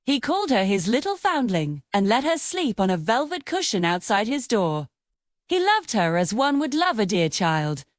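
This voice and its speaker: Animated woman narrating a fairy tale. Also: fairly high pitch, no echo, clean studio sound. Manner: dramatic, measured storytelling. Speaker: storyteller.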